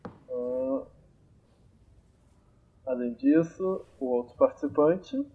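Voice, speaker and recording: A young man hosting a podcast in a relaxed, casual way, thinking aloud with many fillers, recorded on amateur home gear. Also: medium pitch, drawn-out words, husky, lo-fi sound. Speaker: podcast host. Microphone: amateur home recording, phone or computer.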